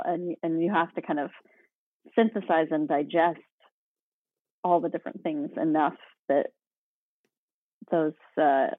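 A woman with a low voice talks casually as an interviewed writer, thinking aloud. The audio is narrow, like a phone line. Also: breathy edge, dry recording.

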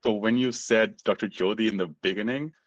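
A man's voice: fairly low pitch, steady tone, brisk, dry recording, highs cut off. A middle-aged man from Nepal with a Nepali accent, telling his personal story as an interview guest. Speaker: podcast guest, medical student.

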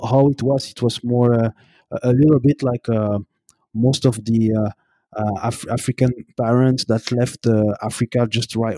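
A man from Brussels, answering interview questions in a halting, word-searching way. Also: mid-range voice, warm tone, no room sound. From Brussels, Belgium. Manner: hesitant, halting, searching for words. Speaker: podcast guest, consultant.

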